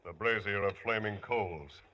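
An actor playing an obedient, grim servant in a 1950s radio drama. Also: male voice, lowish voice, sharp tone, lo-fi sound. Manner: deferential, measured.

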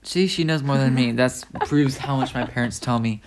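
A young man from Spain with a gravelly, creaky voice, chatting casually as an interview guest. He sounds laid-back and joking and often pauses on fillers while he thinks. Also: mid-range voice, slight background noise. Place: indoors at a family home.

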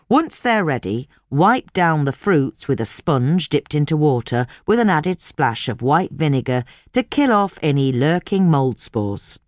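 Female narrator, very low register, lively melodic reading of scripted practical advice, dry sound. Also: no echo. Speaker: narrator reading a gardening article.

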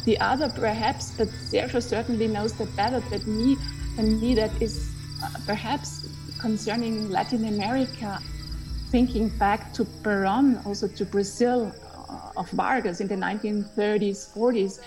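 Female academic, Austrian accent, native German speaker. Reflective, searching explanation at medium pitch over prominent music. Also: steady tone, normal speed, low-quality recording. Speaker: historian, academic researcher. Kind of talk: reflective spoken explanation of research.

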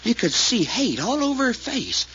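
An actor playing a folksy, excitable detective sidekick with a drawl in a 1940s radio drama. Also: male voice, very high-pitched, normal speed, slightly rough, exaggerated voice. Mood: worked up. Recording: muffled, noisy old radio recording.

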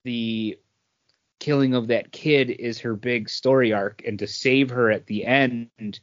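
A man co-hosts a casual film review podcast, talking things through conversationally. His medium-pitched voice sits in a dry recording with a slightly dull, lo-fi sound. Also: steady tone, slow, no room sound. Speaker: podcast co-host. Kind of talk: casual movie review chat.

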